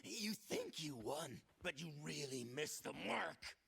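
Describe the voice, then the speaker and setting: A man's voice: fairly high pitch, very animated, a little slow, vocal fry, heavily acted. An actor playing a cocky hitman villain in a video game, mocking and swaggering. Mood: taunting. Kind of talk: video game cutscene acting.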